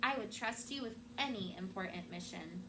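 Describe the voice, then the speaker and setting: A wise enchantress from a children's storybook, read by a woman. She speaks at a medium pitch, calm and measured, with a reassuring manner. Noise is clearly audible in the recording. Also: lightly acted. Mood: solemn, kindly. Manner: soft-spoken, measured, reassuring. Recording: clearly noisy room recording.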